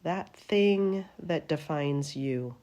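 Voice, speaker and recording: A woman teaching a guided meditation in a gentle, soothing manner, her phrases trailing off softly at the ends. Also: low-pitched, lively intonation, very slow. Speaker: yoga nidra meditation teacher.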